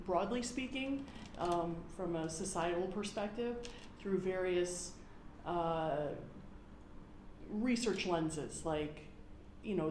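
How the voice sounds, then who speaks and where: A woman's voice: fairly low pitch, darkish tone. A woman in her forties with an American accent, speaking conversationally as an academic guest in an interview. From United States. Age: 40s. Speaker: podcast guest, university professor. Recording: roomy echo, faint background noise.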